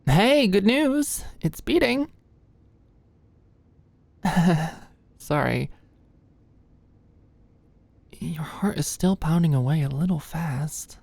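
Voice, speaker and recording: Casting: actress as sweet, easily flustered young female medical student for intimate ASMR roleplay; low register, halting delivery, very dry close-miked sound. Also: lively intonation, vocal fry, a little mellow, no room sound, full character voice. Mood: awkwardly joking. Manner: nervous, hesitant, stammering.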